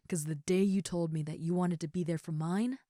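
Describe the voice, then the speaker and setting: A woman playing an affectionate giant ogre roommate in an intimate comfort roleplay, speaking tenderly and haltingly with soft, breathy asides. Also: on the low side, steady tone, no room sound, clean studio sound, lightly acted. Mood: earnest, reassuring. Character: gentle, affectionate giant female ogre roommate, shyly confessing.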